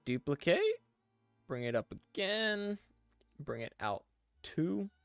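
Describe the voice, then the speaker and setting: A man's voice: fairly high pitch, slow pace, creaky, clean tone, no echo, soft background music. A young man casually chatting on a live stream, talking through each step as he works and reading chat aloud. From English-speaking. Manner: relaxed, talks through steps as he works, reads chat aloud.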